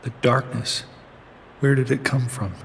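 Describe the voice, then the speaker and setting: An actor playing a strong-willed but troubled young warrior in a video game, speaking with earnest intensity. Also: male voice, medium pitch, steady tone, a little slow, vocal fry, a bit bright, slight background noise.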